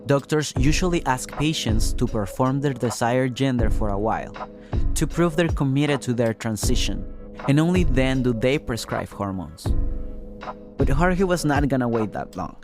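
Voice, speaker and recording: A man's voice: mid-range voice, lively intonation, normal speed. Man narrating a podcast over music. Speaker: reporter and narrator.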